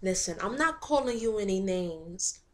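A woman playing a picked-on but principled young woman who stands her ground in a monologue, speaking in a measured, pointed way. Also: medium pitch, animated, a little hiss or hum, close to an everyday voice. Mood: calm, firm.

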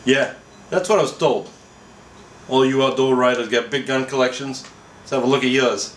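A man's voice: mid-range voice, moderate pace, natural voice. A man voicing a weary, bossy burglar from a comic story, curt and slangy. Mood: irritated. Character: gruff, weary male burglar, bossy and irritable. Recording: roomy echo, faint background noise.

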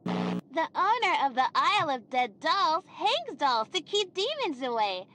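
A woman's voice: high voice, sing-song, unhurried, noisy background, exaggerated voice. A woman playing a cheery, bossy young girl hosting a children's show in a game, with singsong delivery that can turn sarcastic. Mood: scornful, impatient. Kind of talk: scripted children's cartoon game acting. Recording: music behind the voice.

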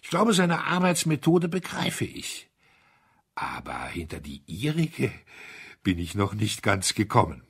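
A man voicing a gruff, sardonic colonel in a dry, grumbling manner for an audiobook story. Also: on the low side, wildly swooping pitch, a little slow, fairly bright tone, exaggerated voice. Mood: gruff, insistent.

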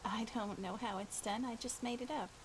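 A woman voicing a patient, reassuring woman friend in a fantasy game roleplay, speaking haltingly and gently. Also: a little high, fairly even intonation, natural voice. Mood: encouraging. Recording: clear rain noise behind voice.

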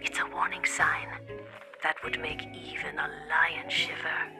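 Seductive female game narrator: low, unhurried, whispered, over prominent music. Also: completely flat delivery, vocal fry. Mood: sultry. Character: seductive, aloof adult female narrator of assassins. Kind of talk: scripted dramatic narration.

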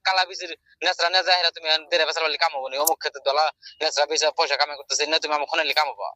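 Fast, sharp, high-voiced arguing shopkeeper man. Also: little pitch movement, no room sound, low-quality recording. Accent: rural Bangladeshi dialect. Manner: exasperated, argumentative. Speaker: shopkeeper on the phone. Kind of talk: argumentative phone conversation.

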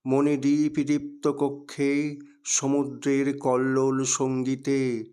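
Slow, clear-voiced man reciting poetry. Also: mid-range voice, a little mellow. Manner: measured, deliberate, declamatory phrasing. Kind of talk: dramatic Bengali poetry recitation.

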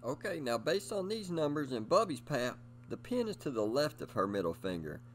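A man's voice: mid-range voice, very animated, moderate pace. A middle-aged man giving scripted instructional narration in an upbeat presenter manner, speaking straight to his viewers. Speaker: host of a bowling instruction video.

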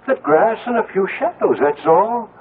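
Anxious father, high swooping voice. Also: big pitch swings, moderate pace. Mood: soothing, worried. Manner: hesitant, stammering, gently soothing. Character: anxious, tender, grieving older father. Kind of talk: 1970s radio drama acting. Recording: tinny, very noisy old radio broadcast.

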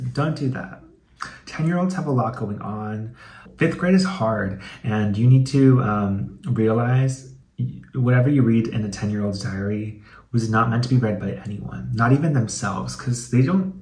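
A man's voice: mid-range voice, darkish tone. A young American man hosting a comedic video, reading aloud and commenting in a chatty, slangy, playfully dramatic way. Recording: echoey room with some background noise.

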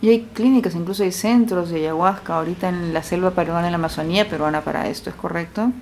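A woman interviewer asking long, leading questions in an unhurried way. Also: on the low side, darkish tone, slight background noise.

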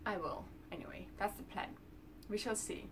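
Young Dutch-accented woman vlogging from her room, casual and rambling, mid-pitched voice, mic set back with light background hiss. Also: normal speed, far from the mic. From Netherlands. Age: 20s. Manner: chatty, lots of ums, talks with her hands. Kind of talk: casual talk to camera. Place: small bedroom indoors.

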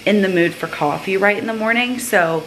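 A woman's voice: mid-range voice, fairly quick, background noise. Chatty young woman vlogging, roomy sound. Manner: chatty, lots of "like" fillers. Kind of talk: casual vlog chat. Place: small apartment bathroom and car.

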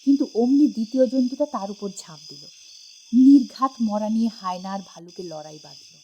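A woman reading a scripted first-person suspense story with dramatic, expressive storytelling. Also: on the high side, brisk, lo-fi sound, slight background noise. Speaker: audiobook narrator.

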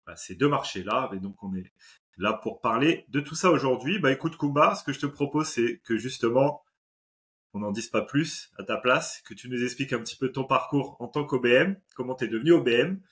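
A man in his forties hosting an interview on a video call, relaxed and chatty with many fillers. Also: mid-range voice, a little fast. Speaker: podcast host.

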